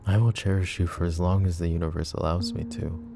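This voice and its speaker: Possessive mafia boss, deep and gravelly. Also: male voice, fairly quick, over music. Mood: menacing. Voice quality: low, soft, close-mic murmur with whispers. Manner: calm, controlled, coolly threatening and doting. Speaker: voice actor. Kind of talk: roleplay ASMR audio drama. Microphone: close ASMR microphone.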